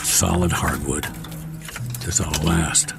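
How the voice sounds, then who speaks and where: An actor playing a gruff, resourceful, lonely war survivor, muttering to himself in a wry, folksy radio drama manner. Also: male voice, rumbling low, fairly even intonation, slow, over music, full character voice. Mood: muttering, satisfied. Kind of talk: dramatic radio play acting, soliloquy.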